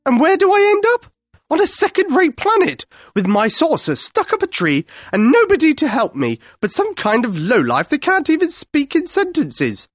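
High-pitched, grumpy Martian alien female, put on by a storyteller. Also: wildly swooping pitch, normal speed, no room sound, studio quality. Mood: indignant, haughty. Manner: grunting, exasperated, haughty.

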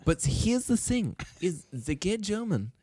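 A man's voice: sits high, big pitch swings, a little slow, dark tone, slightly rough, dry recording. A man co-hosting a comedy podcast, joking loosely and laughing often as he riffs on silly voices. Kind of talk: casual comedic chat.

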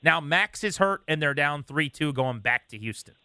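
American male sports talk host, bright and forward, slightly higher voice, dry studio sound. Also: animated, moderate pace, clear, resonant tone, no room sound. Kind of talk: casual sports chat.

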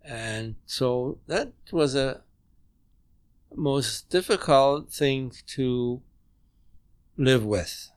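Guest, man in his 90s, German native, measured halting recall, mid-pitched and dry. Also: clear, resonant tone, no echo. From Poland. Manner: halting, measured, with frequent restarts. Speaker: interview guest. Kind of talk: recounting memories in conversation. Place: home dining room.

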